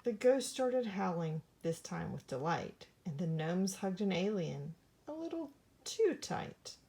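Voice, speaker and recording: A woman reads a rhyming storybook aloud for young listeners. Her voice is mellow and soft-edged, and she tells the tale with theatrical, dramatic expression. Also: on the low side, very animated, measured pace, gravelly, slight background noise. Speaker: storybook reader.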